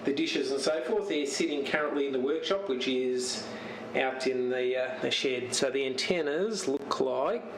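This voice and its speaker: A man giving a casual, unscripted show-and-tell as a radio hobbyist, speaking haltingly and searching for words. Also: mid-range voice. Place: home radio shack. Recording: roomy, distant mic with steady noise.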